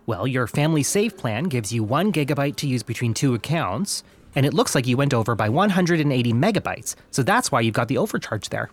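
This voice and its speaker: A man played by an actor works as a phone company service rep in an audio drama. He is polite and placating, with medium pitch that moves up and down a lot and a rehearsed sincerity. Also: expressive, fairly quick, natural voice. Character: smooth, placating young male phone company representative. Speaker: customer service representative.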